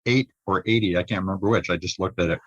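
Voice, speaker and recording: A man hosting a conversational podcast interview, phrasing his questions in a halting, thoughtful way. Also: deep voice, a bit bright, dry recording, lo-fi sound.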